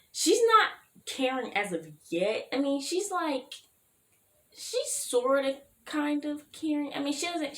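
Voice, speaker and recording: Tired young woman with a fading voice. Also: sits high. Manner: rambling, lots of "like" and "you know what I mean", frequent laughs. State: tired, losing her voice. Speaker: YouTuber, fan-fiction writer. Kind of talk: casual chatty vlog. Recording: echoey room with faint hiss.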